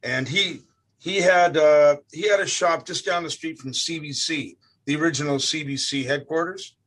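An older man from Canada, a guest musician telling stories about his family in a relaxed, rambling way, often repeating words. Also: on the high side, moderate pace. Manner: rambling, repeats words, animated when quoting. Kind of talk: relaxed storytelling.

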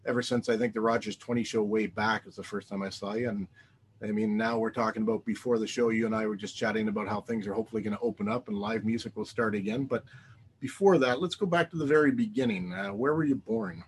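A man's voice: on the low side, steady tone, fast talker, slight background noise. A man hosting a music interview show, introducing his guest and asking questions with some hesitant restarts. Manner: friendly, hesitant, restarts phrases. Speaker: podcast host.

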